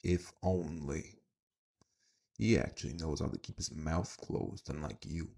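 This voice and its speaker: A man playing a menacing crime boss in an audio roleplay, making slow, deliberate threats. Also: very deep voice, creaky, mellow, full character voice. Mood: smug threat.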